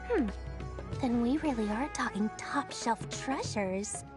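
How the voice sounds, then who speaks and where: High, theatrical greedy merchant girl. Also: animated, takes it slowly, over music. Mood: intrigued, shrewd. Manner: pompous salesman patter, dramatic swings. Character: greedy, theatrical, self-important female traveling merchant. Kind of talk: animated video game cutscene voice acting.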